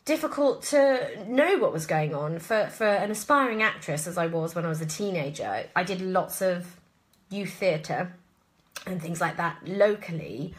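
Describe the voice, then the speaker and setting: Bright-voiced English woman, chatting casually. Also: fairly low pitch. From southwest England. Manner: chatty, rambling, expressive. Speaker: actress answering listener questions. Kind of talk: casual live Q&A chat.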